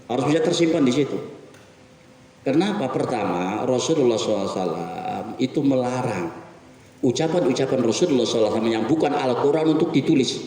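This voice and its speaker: A middle-aged man giving a weekly mosque sermon in a conversational, animated way, asking his listeners rhetorical questions. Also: mid-range voice, a little hiss or hum. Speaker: preacher. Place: mosque hall. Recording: echoey mosque hall from far off.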